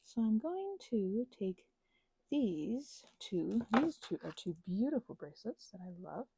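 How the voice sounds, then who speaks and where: Very breathy, playful rambling woman. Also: medium pitch, big pitch swings, measured pace, mellow. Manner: scatterbrained, playful, self-interrupting, often dropping toward a whisper. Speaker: ASMR creator. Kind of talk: casual rambling ASMR craft chat.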